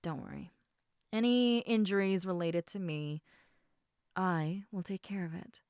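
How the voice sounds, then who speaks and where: A woman playing a caring, slightly snarky snake woman in an intimate audio roleplay, speaking in a soft, hesitant conversational murmur that dips into whispers. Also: lowish voice, a little slow, clean tone, dry recording, clean studio sound.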